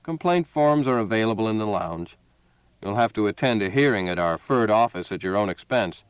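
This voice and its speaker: A man's voice: on the low side, animated, fairly quick, clear, resonant tone, no room sound, highs cut off, natural voice. A man doing a dry, satirical ship's officer. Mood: sardonic.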